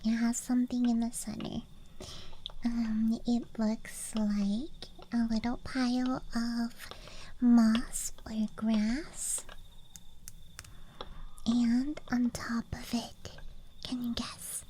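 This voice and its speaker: Slow, high whispering young woman. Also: flat delivery, a little hiss or hum. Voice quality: whispery, breathy. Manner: slow, hushed, musing, childlike wonder. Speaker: ASMR streamer playing a dice goblin persona. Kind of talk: ASMR. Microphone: close microphone. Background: night-time campground ambience.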